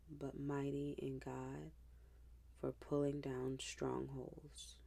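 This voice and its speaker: Slow, low-voiced woman guiding meditation. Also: gravelly. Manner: hushed, gentle, unhurried, with pauses. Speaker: meditation guide.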